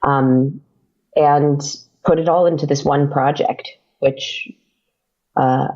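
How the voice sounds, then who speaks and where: A woman's voice: low voice, steady tone, slow, warm tone, highs cut off. A woman speaking casually as an interview guest, thinking aloud in a hesitant way. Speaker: interview guest, writer and podcaster.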